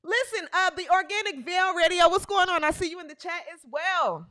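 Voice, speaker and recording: A woman in her thirties hosting a live sports talk stream, animated and playful, with bursts of shouting. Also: very high voice, brisk. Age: 30s. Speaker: podcast host.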